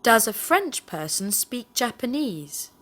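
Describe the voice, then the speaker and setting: A woman's voice: fairly high pitch, big pitch swings, takes it slowly, fairly bright tone, slight background noise. A woman in the role of a language teacher, reading scripted questions with careful phrasing. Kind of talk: scripted question drill, read aloud.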